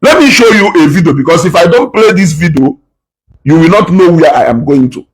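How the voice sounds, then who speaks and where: This is a man talking straight to his viewers in a fired-up reaction commentary. His voice sits fairly high and sounds husky and breathy. His pitch leaps around as he drives home each point, often repeating himself. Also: expressive, fairly quick. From Nigeria, Igbo. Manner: passionate and preachy, repeats words for emphasis.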